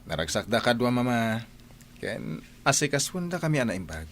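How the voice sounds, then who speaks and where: An actor with a Filipino accent playing a determined young son in a radio drama, earnest and firm. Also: male voice, medium pitch, big pitch swings, moderate pace. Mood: respectful. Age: young adult. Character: determined young son defying his mother.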